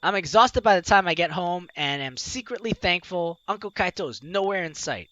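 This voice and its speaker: Male let's-play host, high bright voice, playful teasing commentary, reading game text aloud, music behind. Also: lively intonation, normal speed, no room sound, muffled recording. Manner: playful, teasing, exclamatory, talks to the audience.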